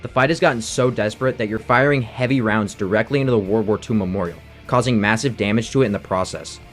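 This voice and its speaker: Male narrator, brisk delivery, scripted game analysis, soft music underneath. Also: fairly low pitch. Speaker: video essay narrator.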